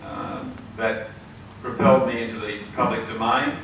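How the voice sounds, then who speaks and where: An older Australian man with a hoarse, failing voice, giving an earnest campaign speech full of ums and uhs. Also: fairly low pitch, level tone, normal speed, a bit bright. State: hoarse, losing his voice. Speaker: election candidate. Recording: echoey hall, mic far off, noisy.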